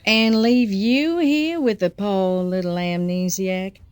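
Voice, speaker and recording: A woman's voice: mid-range voice, steady tone, takes it slowly, darkish tone, close to an everyday voice. A woman playing a proud, passionate businesswoman in a soap-opera audio drama, speaking in an emotive, sardonic way. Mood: indignant. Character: proud, passionate businesswoman, indignant and demanding with her lover. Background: faint music.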